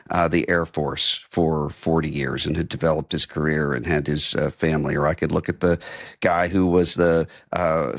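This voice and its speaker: A middle-aged man hosting a reflective interview, speaking in a halting, thoughtful way as he builds long, considered questions. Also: sits low, no room sound, studio quality. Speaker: interviewer, podcast host.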